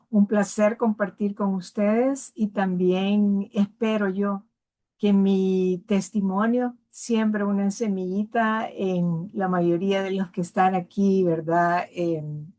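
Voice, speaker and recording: A woman from El Salvador giving a personal testimonial in a measured way, often tagging her phrases with a questioning aside. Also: medium pitch, warm tone.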